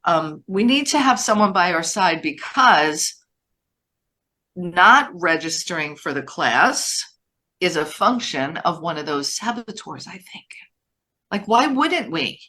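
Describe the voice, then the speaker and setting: A woman in her sixties with a bright, forceful voice hosts a live webinar. She keeps things upbeat and chatty, and stumbles and restarts now and then as she brings callers in. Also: fairly low pitch, sing-song, slow. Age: 60s. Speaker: host. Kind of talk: live webinar hosting, taking questions.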